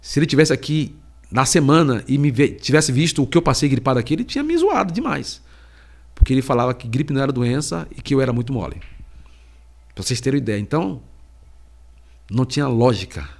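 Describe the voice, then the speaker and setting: Halting middle-aged man with a cold. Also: mid-range voice, sing-song, gravelly. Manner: slow, halting, heavy pauses. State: has a cold. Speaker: podcast host and preacher. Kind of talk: personal faith testimony.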